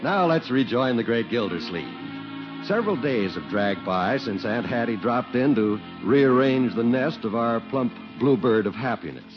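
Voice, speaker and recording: A man's voice: medium pitch, expressive, normal speed. A man working as a 1940s radio announcer, delivering scripted advertising and narration. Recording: muffled 1940s radio recording. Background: music.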